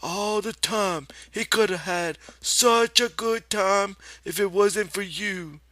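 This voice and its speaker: A man's voice: high-pitched, slow, pushed and bright, no room sound, voice pushed up, exaggerated voice. A man voicing a disapproving, nagging old aunt with folksy rural speech in an audiobook. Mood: reproachful. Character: imagined fat old woman, scolding, disapproving aunt.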